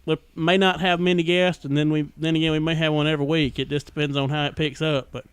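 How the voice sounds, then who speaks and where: Higher-voiced Southern man, smooth and folksy. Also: little pitch movement, fast talker, dry recording. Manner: folksy, rambling storytelling. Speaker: podcast host. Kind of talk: casual chat.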